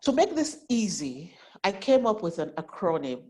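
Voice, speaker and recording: A woman in her forties speaks in a low register, her voice nasal from a heavy cold. She teaches like a financial planner, earnest, often repeating and restarting words as she explains. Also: very animated, moderate pace, a little mellow. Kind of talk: online class, giving tips.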